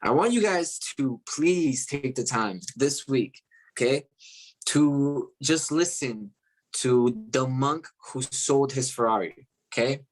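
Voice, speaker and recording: A man's voice: medium pitch, animated, slow, poor audio. A man from Chile with a mid-range voice hosts a live online group call. He talks in an enthusiastic motivational style, addressing his audience directly. He often repeats his phrases and punctuates them with short tags like "okay?" and "right?".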